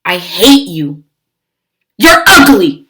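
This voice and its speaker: A woman voicing a bossy, jealous young girl mouse in a children's storybook, loud and emphatic. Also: lowish voice, very animated, takes it slowly, exaggerated voice. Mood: spiteful. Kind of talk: children's storybook read-aloud.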